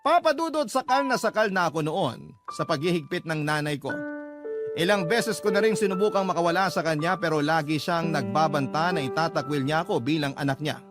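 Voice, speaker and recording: A man's voice: a little high, lively intonation, a little fast. A man narrating a scripted first-person story in the manner of a radio storyteller. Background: loud background music.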